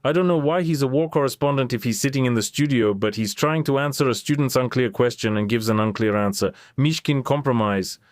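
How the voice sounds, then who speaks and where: A male political commentator whose first language is Ukrainian, speaking in English with a smooth, clear voice. He talks in a wry, sarcastic way, tossing in asides about the clips he plays. The recording is dry and close, with no room sound. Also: medium pitch, fairly quick, hardly any pauses, no echo.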